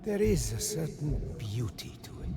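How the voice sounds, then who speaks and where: An actor playing a wise, cryptic mentor in a video game, speaking in a measured, formal and portentous way. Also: male voice, mid-range voice, sing-song, very noisy. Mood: solemn, knowing. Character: wise, cryptic male mentor, solemn doctor and guide. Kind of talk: cinematic video game acting.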